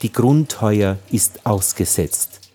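A man's voice: lowish voice, slow pace, mellow, breathy edge. A man playing a grave narrator who gives sombre scripted reports in a radio play.

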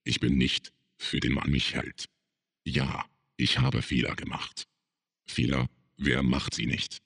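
An actor playing a brooding, defensive man in a radio play monologue, resigned and confessional, with a gravelly, husky voice. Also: extremely low pitch, level tone, slow pace, fairly bright tone, exaggerated voice. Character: brooding, defensive man musing on his own reputation. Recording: long reverberant hall.

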